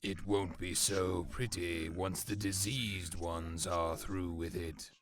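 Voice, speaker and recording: An actor playing a cruel, commanding warlord villain in a video game, speaking with deliberate, imperious menace. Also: male voice, sits low, flat delivery, exaggerated voice. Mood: cool menace. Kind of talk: video game cutscene acting. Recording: loud rain and background noise.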